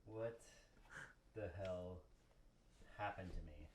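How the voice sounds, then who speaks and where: A man's voice: deep voice, takes it slowly. A man playing a doomed, regretful mutant scientist, grave and mock-solemn, in an amateur comedic radio play. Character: doomed, regretful male scientist turned kangaroo cyborg. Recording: roomy, distant, faint noise and clicks.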